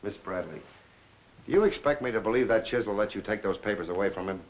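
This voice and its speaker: Fast-talking hard-boiled American police lieutenant. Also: male voice, on the low side, expressive. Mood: stern, probing. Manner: clipped, pressing, no-nonsense. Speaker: film actor. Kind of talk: 1940s film noir acting. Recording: noisy 1940s film soundtrack.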